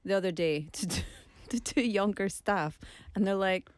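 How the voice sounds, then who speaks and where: A woman from Scotland with a softened Scottish accent, chatting casually and telling animated stories. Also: mid-range voice, no room sound. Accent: Scottish, softened for clarity. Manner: quick, animated storyteller who mimics other accents. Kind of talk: casual chat.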